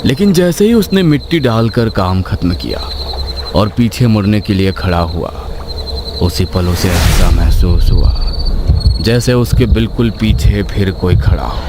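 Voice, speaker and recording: A man's voice: on the low side, lively intonation, measured pace, heavy background noise. A man reading a scripted horror story as a suspense narrator. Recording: loud night ambience with crickets.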